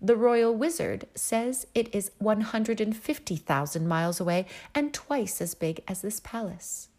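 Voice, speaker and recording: Woman reading as an old storybook king, impatient, commanding, a bit gravelly. lively reading voice. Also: mid-range voice, measured pace, voice pushed up. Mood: mournful. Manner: impatient and commanding, turning mournful. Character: hot-tempered demanding old king.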